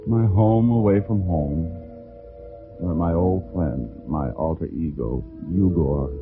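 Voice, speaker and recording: Wisecracking gumshoe, low voice, dry humor, hesitant phrasing, 1940s radio play, narrow tinny sound. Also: male voice, slow pace, music in the background. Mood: wry narrating. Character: wry, wisecracking hard-boiled male private detective.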